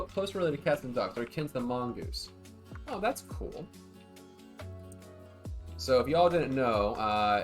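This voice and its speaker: A man streaming a zoo-building game, chatting casually and rambling to his viewers as he plays. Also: mid-range voice. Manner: laid-back, rambling, talks to chat. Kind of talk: casual livestream chat.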